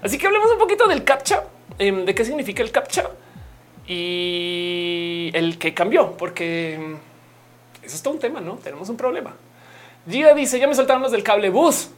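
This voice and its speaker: A woman's voice: medium pitch, lively intonation, normal speed. A young woman from Colombia who speaks, hosting a casual livestream, chatty and playful as she reads comments and laughs often. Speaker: livestream host. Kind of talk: casual live-stream chat reading comments.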